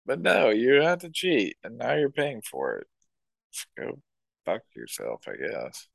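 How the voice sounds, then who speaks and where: A young man chatting casually as a podcast co-host, with crude, deadpan jokes. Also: medium pitch, unhurried, vocal fry, husky, no echo. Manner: crude, joking, deadpan asides. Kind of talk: casual chat.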